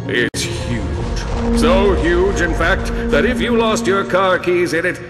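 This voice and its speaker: A pompous game space hero, narrating grandly in a fairly high male voice. He takes his time, self-important and dramatic, in long reverb over music. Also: animated, slow pace. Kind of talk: mock-heroic narration in a game cutscene.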